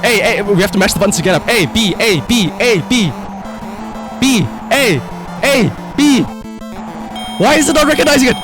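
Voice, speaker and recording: Male livestream gamer, slightly high voice, very lively intonation, casual and sweary, excitable. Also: moderate pace, long pauses, music in the background. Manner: excitable, emphatic, shouts at the game, swears. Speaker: live streamer. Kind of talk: casual livestream gaming commentary.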